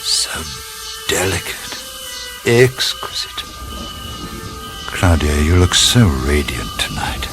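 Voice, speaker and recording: A man's voice: low-pitched, sing-song, music in the background, full character voice. An actor playing an amorous, swooning male professor in a radio drama, sighing and murmuring in French. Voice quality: gravelly, slow, sighing, drops into French murmurs.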